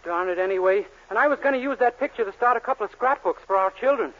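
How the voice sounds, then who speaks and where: A man's voice: high voice, quick pace, close to an everyday voice. An actor playing a naive, cheerful young man in a 1940s radio sitcom, earnest and dim-witted. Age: 20s. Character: naive cheerful young male soda jerk. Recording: dull 1940s radio broadcast recording.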